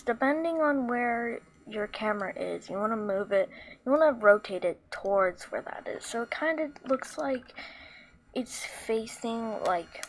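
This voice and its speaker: A woman with a squeaky voice talking through a casual screen tutorial, rambling with lots of fillers and restarts. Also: low-quality recording. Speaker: tutorial maker.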